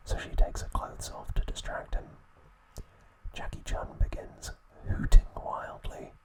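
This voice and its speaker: Whispering man narrating ASMR. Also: rough recording. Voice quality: breathy, unvoiced whisper. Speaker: ASMR video host.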